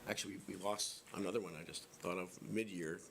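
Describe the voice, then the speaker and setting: A man's voice: deep voice, steady tone, fast, creaky, fairly bright tone, slight background noise. A man, a school administrator, answering board members' questions at a public meeting, hesitating and restarting often. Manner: hesitant, many restarts and fillers.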